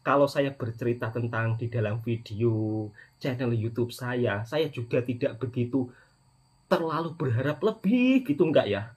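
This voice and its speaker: A man's voice: mid-range voice, expressive, normal speed, darkish tone, breathy edge. A middle-aged man with an Indonesian accent talking casually to his viewers in a personal vlog, repeating words for emphasis and often addressing the audience directly. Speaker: vlogger, channel host, migrant worker.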